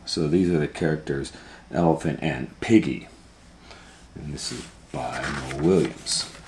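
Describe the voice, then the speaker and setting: A man hosting a storytime, reading a picture book aloud to children in an unhurried way with long pauses and hushed asides. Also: extremely low pitch. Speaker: storytime host and reader. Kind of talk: animated read-aloud for children. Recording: roomy, echoey room sound.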